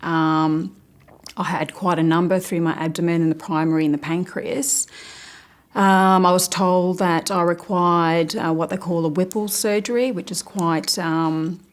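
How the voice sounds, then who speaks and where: Late-thirties woman, lowish voice, speaks slow and careful. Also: steady tone. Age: 30s. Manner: careful, measured with fillers. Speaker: patient sharing her story. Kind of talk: personal testimony.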